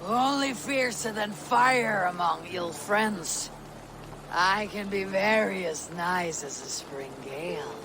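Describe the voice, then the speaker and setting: A woman playing a bold, blunt Viking warrior chieftain with a measured, declamatory delivery in a dramatic game cutscene. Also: mid-range voice, background noise, lightly acted. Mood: warm, boastful.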